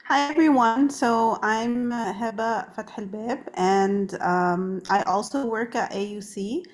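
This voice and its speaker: A woman's voice: fairly high pitch, slow. A woman from Canada introducing herself to an online workshop, hesitant, with many "uh" and "um". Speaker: workshop co-facilitator, university administrator. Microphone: computer mic on a video call.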